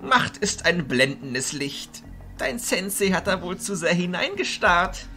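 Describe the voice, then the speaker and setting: A man playing an elderly, authoritative arch-chancellor of illusionists in a fantasy audio drama, speaking in a theatrical, deliberate way. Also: high-pitched, sing-song, sharp tone, faint music behind, full character voice. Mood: calm, questioning.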